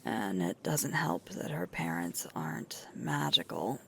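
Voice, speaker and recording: A woman playing a sweet, tired alpha werewolf girlfriend in an intimate roleplay ASMR, murmuring softly and breathily close to the microphone. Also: extremely low pitch, sing-song, exaggerated voice. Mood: soft, musing. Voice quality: breathy, creaky, often whispering. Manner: slow, soft, unhurried intimate murmur with pauses.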